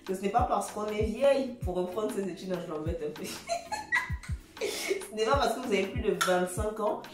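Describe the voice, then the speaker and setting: A woman's voice: medium pitch, normal speed, reverberant, far from the mic, music in the background. A woman hosting a casual interview, friendly and chatty, often laughing and repeating words as she searches for them. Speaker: host.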